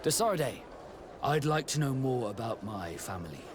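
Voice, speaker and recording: Game casting: male actor as direct, inquiring sailor, middle pitch, formal phrasing, outdoor noise behind. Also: big pitch swings, normal speed, natural voice. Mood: earnest. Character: searching, direct tattooed sailor companion. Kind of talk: dramatic video game dialogue.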